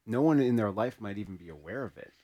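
A man's voice: fairly low pitch, expressive, fast, muffled recording. A man co-hosting a casual conversation, reflecting back what he hears in a tentative, searching way. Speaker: podcast co-host.

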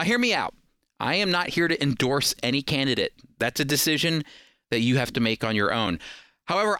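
A man's voice: fairly high pitch, sharp tone, clear, resonant tone, no room sound, studio quality. An American man hosting a radio program, speaking in an earnest, emphatic, conversational teaching style. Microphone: close studio microphone.

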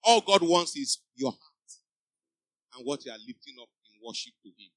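A man's voice: on the high side, animated, normal speed, fairly bright tone, no echo, studio quality. A man preaching a sermon to a church congregation. He exhorts the listeners with emphatic, repeating refrains. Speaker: pastor. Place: church before a live congregation.

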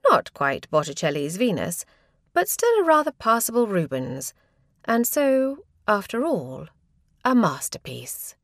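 A woman narrating a comic short story in a wry, arch, expressive manner. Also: medium pitch, unhurried, no echo, studio quality. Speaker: story reader.

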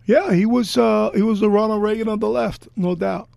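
Hoarse, bombastic older man. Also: high voice, fairly even intonation, moderate pace, a little mellow, no echo. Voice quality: hoarse, gravelly voice. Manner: gregarious, bombastic, argumentative. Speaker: podcast co-host. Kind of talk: casual political chat.

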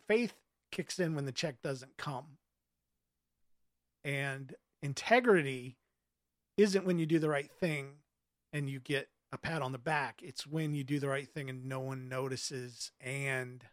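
A man speaking as an author in a casual interview, giving earnest answers in a thoughtful, halting way. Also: mid-range voice, a bit bright, no room sound, clean studio sound.

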